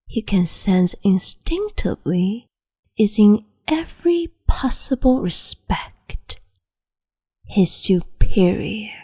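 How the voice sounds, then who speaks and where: A woman voicing a cruel, capricious empress in an ASMR roleplay, speaking slowly and mockingly and slipping into whispers. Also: on the low side, mellow, no room sound, studio quality. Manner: slow, mocking, condescending, drifts into whispers.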